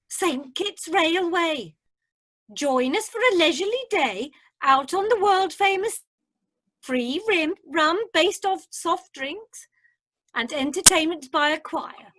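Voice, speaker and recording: A woman's voice: very high voice, expressive, slow pace, fairly bright tone, no room sound, full character voice. A woman playing an eager, chatty cruise passenger in an amateur radio drama. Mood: cheerful, chatty. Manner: eager, sociable. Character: chatty, sociable woman passenger from the Welsh valleys.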